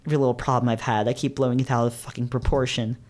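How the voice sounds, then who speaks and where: An anxious young male fox from a visual novel, acted out by a man, pours out self-loathing in an agitated, melodramatic way. The voice is mid-pitched and overwrought, always putting himself down. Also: little pitch movement, very fast, a little mellow, close to an everyday voice. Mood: frantic outburst. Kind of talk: dramatic reading of a visual novel.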